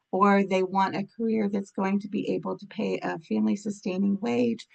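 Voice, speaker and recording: A woman's voice: mid-range voice, creaky, darkish tone. A woman with a slight cold and scratchy voice, giving an informal explanatory presentation as a program officer.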